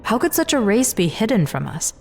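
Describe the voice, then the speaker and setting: A woman's voice: mid-range voice, big pitch swings, fairly quick, gravelly, darkish tone, a little hiss or hum. A woman playing an earnest, curious scientist with an inquisitive manner in a sci-fi audio drama. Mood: probing. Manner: inquisitive, questioning. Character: earnest, probing female scientist, openly curious.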